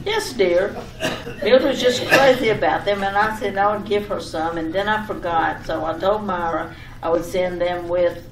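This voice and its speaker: A woman's voice: lowish voice, normal speed, lightly acted. A woman playing a fussy, scatterbrained wife in an old-time radio comedy sketch, giving repetitive, over-detailed instructions. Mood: fussy, chatty. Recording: echoey room, distant mic, faint noise.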